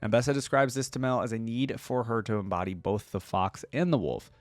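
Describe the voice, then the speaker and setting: A man's voice: mid-range voice, a little fast, no echo. A man reading text aloud as a reaction video host, dropping in casual asides between passages.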